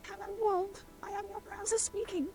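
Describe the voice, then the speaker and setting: A synthetic text-to-speech voice, artificially pitched up to sound childlike, reading mechanically as a browser demo. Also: normal speed, vocal fry. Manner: mechanical, flat.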